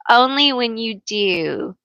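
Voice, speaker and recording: A woman hosting a casual tarot live stream, chatty and teasing herself, laughing often and calling herself a loud yeller. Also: fairly high pitch, creaky, no room sound.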